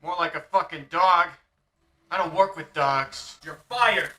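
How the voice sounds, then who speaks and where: A man's voice: fairly high pitch, a little slow, sharp tone. A man voicing a sneering, arrogant young punk band leader in a motion comic. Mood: contemptuous.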